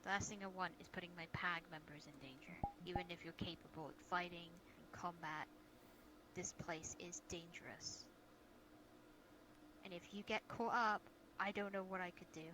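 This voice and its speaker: A woman's voice: fairly low pitch, steady tone, moderate pace, lightly acted. A woman playing a caring, cautious guild leader in an improvised fantasy role-play, speaking earnestly and hesitantly over online voice chat. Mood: worried. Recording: noisy online voice-chat audio.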